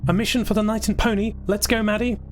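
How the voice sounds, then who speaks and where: A man's voice: high voice, little pitch movement, fast talker, pitched above the performer's own voice, close to an everyday voice. An adventurous young-spirited fellow , acted by a male narrator speaks in a raised voice. He is eager and plain-spoken, a radio-play traveller with music beneath him. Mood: excited. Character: adventurous, cheerful male traveller, companion to a robot horse.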